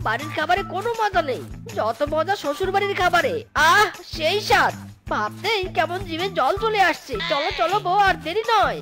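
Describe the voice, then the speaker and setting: A woman's voice: very high-pitched, animated, moderate pace, a bit bright, faint music behind. A woman voicing a greedy, boastful fox husband in a comic Bengali cartoon, wheedling and grumbling. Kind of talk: Bengali animated comedy story dialogue.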